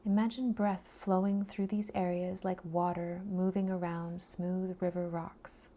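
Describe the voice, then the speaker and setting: A woman guiding a body scan meditation in a soft, soothing, breathy voice that often trails into a near-whisper, leaving long pauses between phrases. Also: on the low side, flat delivery. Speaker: meditation guide.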